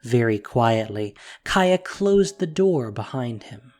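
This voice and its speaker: A man reading scripted fantasy story narration with expressive delivery. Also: mid-range voice, slow pace, soft background music.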